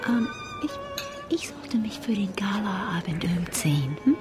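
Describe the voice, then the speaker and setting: An actress playing a flirtatious society lady in a radio drama, theatrical and sometimes breathy. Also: mid-range voice, animated, a little slow, over loud music, close to an everyday voice. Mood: coy, arch.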